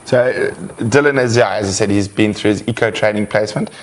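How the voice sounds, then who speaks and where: A man with a somewhat low voice hosts a live wildlife broadcast. He reads viewer questions in a loose, friendly way, full of fillers and easy laughs. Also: brisk. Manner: relaxed, chatty, laughs often, many "um"s. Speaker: host of a live wildlife show. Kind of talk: casual live-stream chat, reading viewer questions.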